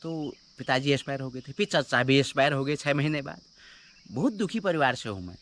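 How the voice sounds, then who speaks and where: A man's voice: mid-range voice, lively intonation, brisk, fairly bright tone. A man in his forties from the hills of Uttarakhand, a native Kumaoni speaker with a Kumaoni accent, chatting casually in an earnest, rambling, philosophical way. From Uttarakhand hills, India. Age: 40s. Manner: rambling, earnest, philosophical, switches into hill dialect. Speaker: local villager being interviewed. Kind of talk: casual conversation. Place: outdoors in a hill village.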